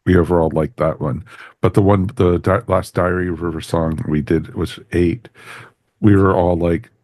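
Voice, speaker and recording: Male podcast host, very low register, loose rambling conversational delivery, dry recording. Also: steady tone, moderate pace, darkish tone, no echo. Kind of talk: casual review discussion.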